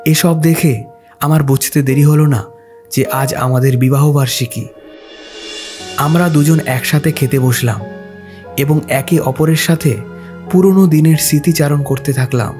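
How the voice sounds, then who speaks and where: A man telling a first-person ghost story for a cartoon, calm and reflective. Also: fairly high pitch, a little slow, long pauses, soft background music.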